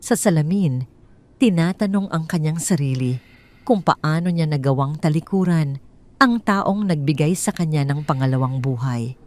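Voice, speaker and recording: A Filipino woman tells a scripted, sentimental drama. Her voice sits a little low for a woman. She delivers the narration in a measured, solemn way, as a storyteller would. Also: darkish tone.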